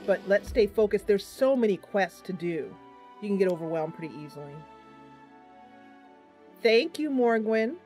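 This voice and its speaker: A woman's voice: medium pitch, expressive, normal speed, long pauses, mellow, soft background music. A woman giving casual live commentary as she plays and narrates a roleplaying game. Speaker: game streamer.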